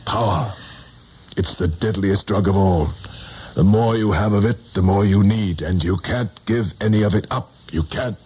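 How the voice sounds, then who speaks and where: Low-voiced, haunted powerful politician. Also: male voice, normal speed, a little mellow, slightly rough. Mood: pleading. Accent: American. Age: middle-aged. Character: powerful, haunted middle-aged male politician, defensive and desperate. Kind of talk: 1970s radio drama acting. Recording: noisy 1970s radio broadcast recording.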